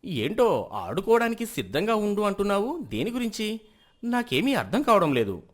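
Animated, proud merchant husband, acted by a man, high-ish voice. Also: moderate pace, exaggerated voice. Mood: satisfied, curious. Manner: animated, self-justifying, conceding. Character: proud, status-minded rich merchant, middle-aged husband and father. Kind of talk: moral story dialogue.

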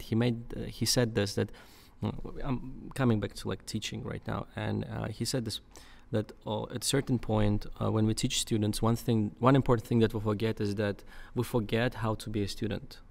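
A young man with a low voice and a gravelly edge talks on a studio podcast. He thinks aloud, with frequent fillers and restarts, close to a boom mic. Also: steady tone, a little fast, few pauses. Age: young adult. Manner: thoughtful, many fillers and restarts. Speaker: podcast guest, English teacher. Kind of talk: casual conversation. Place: dark studio. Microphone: boom mic in front.

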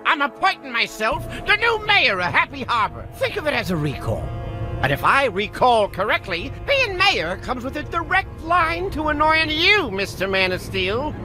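A mischievous little cartoon imp, very high in pitch and wildly animated. He is theatrical and mocking, a gloating trickster from a video game, over background music. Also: moderate pace, exaggerated voice. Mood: smug, teasing. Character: gleeful mischievous imp from another dimension, self-appointed mayor. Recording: music behind the voice.

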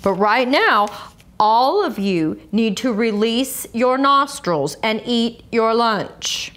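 A woman voicing a patient male schoolteacher who addresses his class in a measured, firm way in a storybook read-aloud. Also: a little high, animated, fairly bright tone. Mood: calm, firm.

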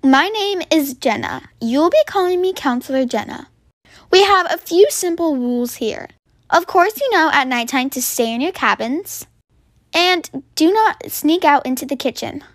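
A woman's voice: high voice, lively intonation, normal speed, no room sound, clean studio sound. A woman voicing a bossy, falsely sweet camp counselor with murderous schemes in a roleplay story.

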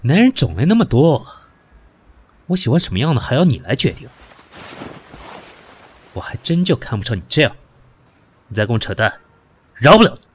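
A man playing an indignant, sharp-tongued boss in a modern audio drama, curt and scornful. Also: medium pitch, sing-song, moderate pace, pauses often, breathy edge. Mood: scornful, irritated.

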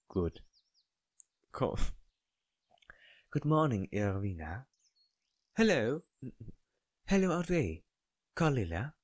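A man with a non-native English accent reading game text aloud haltingly, with casual asides. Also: on the low side, no echo. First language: not English. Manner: halting, stumbles over words. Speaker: let's player reading a visual novel aloud.

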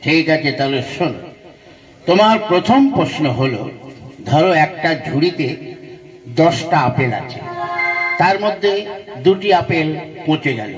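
A man's voice: a little high, lively intonation, a little slow, pauses often, music in the background. A man playing an exasperated village schoolmaster in a comic puppet show, questioning and exclaiming in bafflement. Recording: dull lo-fi sound.

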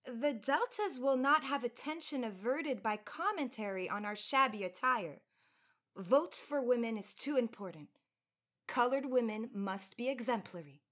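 A woman's voice: a little high, expressive, a little slow, fairly bright tone. An actress playing an earnest, proud young college woman of the 1910s with formal, careful elocution in a radio drama. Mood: proud, resolute. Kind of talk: period radio drama acting.

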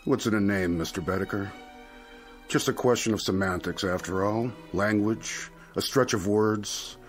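An actor playing a suave devil who coaxes like a genteel salesman, with drawn-out theatrical phrasing, in a radio drama. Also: lowish voice, faint music behind, close to an everyday voice. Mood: playfully evasive.

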